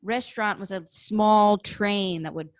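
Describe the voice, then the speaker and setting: A woman's voice: medium pitch, measured pace, no echo. Giggly rambling young woman, dry sound. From Illinois, US. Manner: rambling, filler-heavy, giggly. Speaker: podcast guest. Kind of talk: casual chat.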